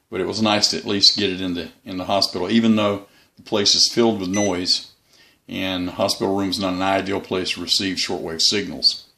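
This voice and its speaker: A man giving a casual, unscripted hobby review as the channel's host, in a halting, rambling way. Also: low voice, a bit bright.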